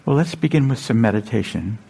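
A man leading a guided meditation as a teacher, gentle and unhurried, leaving long pauses and repeating short phrases. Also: medium pitch, expressive, gravelly, husky.

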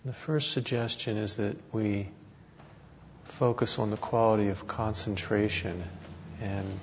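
A man teaching guided meditation, speaking in short phrases with long pauses between them. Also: fairly low pitch, steady tone. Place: meditation hall talk. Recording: dull recording with background hiss.

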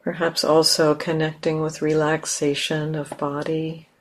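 A woman teacher leading a guided meditation in a gentle, unhurried manner, leaving long pauses between phrases. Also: deep voice, monotone.

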